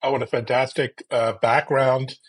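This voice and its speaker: A man's voice: mid-range voice, fairly even intonation, moderate pace. A man hosting a tech interview show, introducing his guest and asking questions in an upbeat, effusive manner. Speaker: podcast host.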